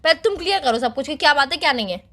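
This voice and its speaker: A young woman with an extremely high, sharp voice in a casual phone argument. She fires off questions quickly and insistently, slipping into pleading as she presses her partner. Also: animated. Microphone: phone call.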